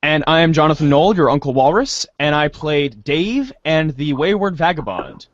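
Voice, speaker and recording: A man's voice: a little high, expressive, normal speed, no room sound, highs cut off. A man hosting a show in a theatrical presenting style. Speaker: host.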